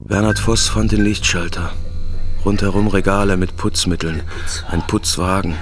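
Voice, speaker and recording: A man narrating a crime thriller audio drama as a sober, suspenseful storyteller with a gravelly voice. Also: sits low, steady tone, normal speed, lightly acted. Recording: loud music under the voice. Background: loud music.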